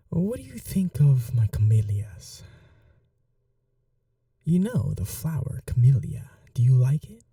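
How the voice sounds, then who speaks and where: A performer voicing a possessive vampire noble in an ASMR roleplay, speaking softly and slowly with a teasing, condescending cruelty. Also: mid-range voice, sing-song, no echo, studio quality, far from the mic, exaggerated voice. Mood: teasing, commanding. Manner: slow, soft, breathy, condescending teasing.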